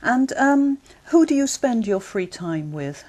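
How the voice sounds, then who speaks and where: A woman examiner puts her questions in a lively, rising and falling voice. She sets out each task in clear, measured steps and murmurs short acknowledgements between the answers. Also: a little high. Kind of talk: scripted exam questions.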